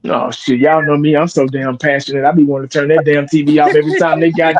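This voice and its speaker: Man, forties, sports creator; slightly high voice, blunt and profane, rambling delivery with restarts. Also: level tone, quick pace, a crowd in the background. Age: 40s. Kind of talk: casual podcast chat.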